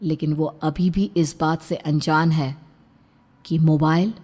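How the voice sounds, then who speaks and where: Lowish-voiced woman narrating horror stories. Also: fairly even intonation, takes it slowly, mellow, in a large hall. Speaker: storyteller. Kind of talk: dramatic scripted horror-story narration.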